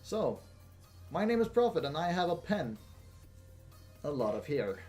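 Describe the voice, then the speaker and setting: A young man hosting a casual Q&A video, talking in a flat, monotone way with lots of fillers and restarts. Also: a little high, a little slow, soft background music. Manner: monotone, rambling with many fillers. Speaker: gaming channel host. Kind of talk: casual Q&A monologue.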